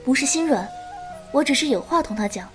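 A woman playing a calm, principled, protective elder-sister swordswoman in a martial-arts audio drama. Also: high voice, a little fast, music in the background. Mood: stern, righteous. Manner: composed, coaxing, righteous lecturing.

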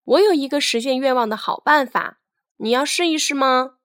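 A tiny helpful ant voiced by a woman from a children's storybook. It speaks earnestly and carefully in a fairly high voice, patient and given to hushing. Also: moderate pace, dry recording, clean studio sound.

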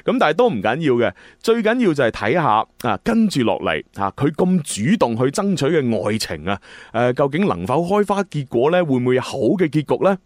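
A man with a Cantonese accent, hosting and narrating a radio drama in an expressive, conversational way. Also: mid-range voice, brisk, few pauses. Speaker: radio host and storyteller. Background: music.

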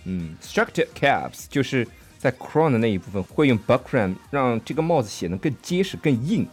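A man's voice: mid-range voice, big pitch swings, moderate pace, breathy edge, faint music behind. A man co-hosting a language podcast in casual talk, explaining words, spelling them out letter by letter and checking in with his partner. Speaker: podcast co-host teaching English. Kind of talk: casual bilingual chat, explaining English words. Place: studio in Sydney.

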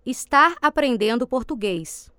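Clear, smooth-voiced woman for drill cues, measured and exact. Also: fairly high pitch, no echo, studio quality. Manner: calm, precise cue reading. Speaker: cue reader in a language course. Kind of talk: scripted language drill prompts.

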